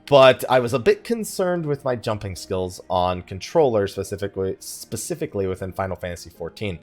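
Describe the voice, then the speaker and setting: A man hosts a casual let's play in a slightly low voice. His pitch moves around a lot as he chats and thinks aloud in a loose, rambling way. Faint music plays behind him. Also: big pitch swings, fairly quick. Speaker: gaming YouTuber, let's play host.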